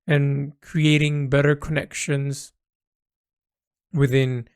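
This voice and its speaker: Male audio diarist, artist type. Gravelly, creaky tone, dry recording, reflective and halting solo delivery. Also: mid-range voice, flat delivery, a little mellow, no room sound. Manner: halting, thoughtful, with repeated words and trailing phrases. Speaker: artist recording an audio log about their creative project.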